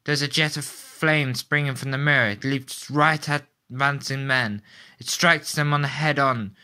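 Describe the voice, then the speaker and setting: A man playing an excitable radio reporter in an old-style radio play, giving a dramatic, halting eyewitness account. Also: medium pitch, clear, resonant tone. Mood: urgent, alarmed. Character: excitable male radio reporter, live eyewitness, awed and horrified.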